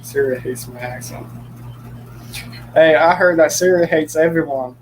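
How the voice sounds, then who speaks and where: A man's voice: a little high, measured pace, slight background noise, rough recording. A young man hosting a casual livestream chat, joking often and laughing easily. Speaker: livestream host.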